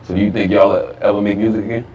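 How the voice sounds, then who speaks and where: A low-voiced man interviews a guest, asking direct questions and murmuring his agreement between them. He sits back from the mic, so his voice sounds distant, with a faint room hum behind it. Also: flat delivery, very fast, a little mellow, highs cut off. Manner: frequent murmured backchannels.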